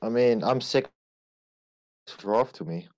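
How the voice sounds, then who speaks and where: A man's voice: medium pitch, slow. A man in his twenties teasing and mocking others in an online voice-chat argument. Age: 20s. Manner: teasing, deadpan mockery. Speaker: debate stream participant.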